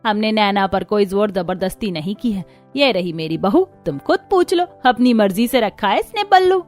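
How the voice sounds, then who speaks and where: A woman voicing a caring middle-aged mother-in-law who is gentle with her daughter-in-law and firm with her son. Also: mid-range voice, lively intonation, normal speed, faint music behind, natural voice. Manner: kind but stern.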